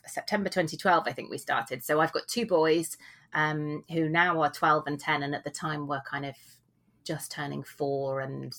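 British woman, low-pitched voice, rambling and self-deprecating, forties, home recording. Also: fairly even intonation, moderate pace, a bit bright. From England. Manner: chatty, rambling, self-deprecating with frequent laughs. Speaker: guest author. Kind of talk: casual interview chat.

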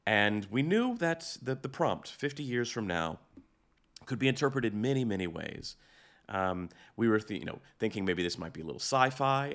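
A man hosting a podcast in a conversational interviewing style, haltingly repeating phrases as he goes. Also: fairly low pitch, fairly bright tone. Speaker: podcast host.

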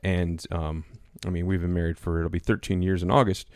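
A pastor in his thirties talking casually as a podcast co-host, with a loud voice that carries, rambling with many fillers and restarts. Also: sits low, fairly even intonation, brisk, no room sound, clean studio sound. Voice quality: loud, carrying voice. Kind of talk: casual conversation. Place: small home studio.

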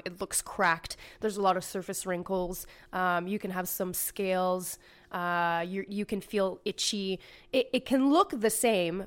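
A woman hosting her own skincare podcast, her voice slightly raspy from a lingering cold. She explains things in a chatty, casual way, stressing points and checking in with "okay?". Her pitch sits in a typical middle range. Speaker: podcast host and esthetician. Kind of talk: casual educational podcast talk.

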